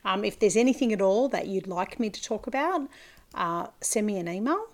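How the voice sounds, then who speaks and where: Gravelly-voiced Australian woman podcaster. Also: mid-range voice, expressive, a little fast. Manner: chatty and rambling, with many fillers and self-corrections. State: hoarse, gravelly from a chest infection. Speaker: podcast host and farmer. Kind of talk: casual solo podcast chat.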